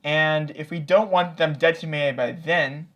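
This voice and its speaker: A man's voice: fairly high pitch, fairly even intonation, measured pace, a bit bright. A synthetic male voice playing a stern, commanding police officer with stilted delivery. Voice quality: synthetic text-to-speech voice.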